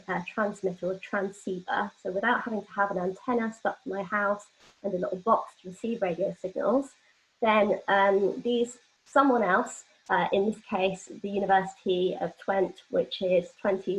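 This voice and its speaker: A dull, lo-fi online call carries the voice of a young woman at a medium pitch. She presents a workshop informally, explaining as she goes. Her delivery is hesitant, with pauses in the middle of phrases. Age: young adult. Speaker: workshop leader. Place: online video call from home.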